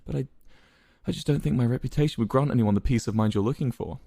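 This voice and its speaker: A man's voice: medium pitch, fast talker, gravelly, warm tone, no room sound, clean studio sound. A man voicing a proud but rattled male leader in a dramatized story, stammering and repeating words, easily enraged. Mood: soft, hesitant.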